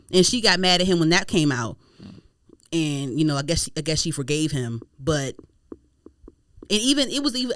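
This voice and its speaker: A woman hosting a podcast in a casual gossip chat, animated, slangy and profane, often repeating herself for emphasis. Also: medium pitch, no echo, studio quality. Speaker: podcast host.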